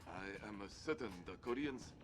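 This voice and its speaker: A man voicing a halting, grave admiral. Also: lowish voice, close to an everyday voice. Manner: halting, full of pauses. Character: hesitant grave male admiral. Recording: game cutscene with music underneath.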